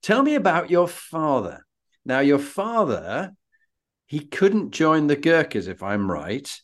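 British man hosting, medium-pitched voice. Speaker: interview host. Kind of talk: conversational interviewing with anecdotes.

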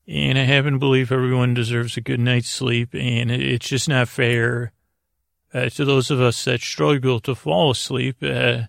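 A man hosting a sleep podcast, rambling in a lulling, soothing, creaky voice, halting and repeating himself as he over-explains. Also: mid-range voice, dry recording, clean studio sound. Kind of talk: rambling bedtime podcast intro.

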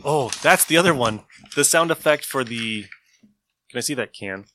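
A man hosting a podcast in casual chat about old radio shows, telling things in an animated way. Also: medium pitch, normal speed, a little hiss or hum. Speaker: podcast host.

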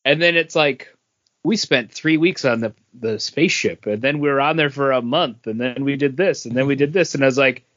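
A man's voice: mid-range voice, a little fast, fairly bright tone. A man co-hosting a podcast, talking casually about comic books with his co-hosts. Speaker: podcast co-host. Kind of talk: casual comic book review chat. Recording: dull lo-fi podcast audio.

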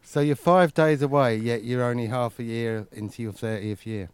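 A man's voice: mid-range voice, steady tone, normal speed, clean tone. A man in his fifties co-presenting sports radio, with a dry, teasing sense of humour. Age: 50s. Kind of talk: casual sports radio chat.

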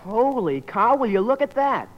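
A performer playing an excitable young son in a 1950s radio sitcom. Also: medium pitch, normal speed, lightly acted. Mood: excited. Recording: muffled old radio broadcast.

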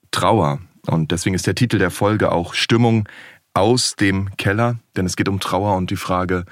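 A man from Berlin co-hosting a podcast, talking in an articulate, relaxed way as he lays out the episode. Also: low-pitched, no echo, clean studio sound. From Berlin, Germany. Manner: articulate, explains structure, relaxed. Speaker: podcast co-host. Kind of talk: casual podcast conversation.

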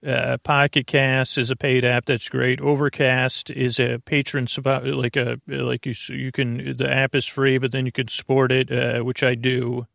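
A man hosting a bedtime podcast, rambling through an intro in a creaky voice with a meandering, halting, muttering delivery. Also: medium pitch, flat delivery, fairly bright tone, dry recording, studio quality. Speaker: podcast host.

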